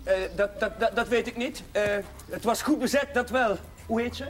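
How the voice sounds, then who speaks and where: A man's voice: very high voice, moderate pace, slight background noise. An actor playing a flustered man with his dog, who gives stammering replies. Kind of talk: 1970s TV drama acting.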